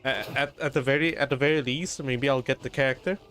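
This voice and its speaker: A man's voice: medium pitch, steady tone, a little fast, a bit bright, slight background noise. A man livestreaming a game, talking casually and muttering to himself as he plays. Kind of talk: casual solo gaming stream chatter.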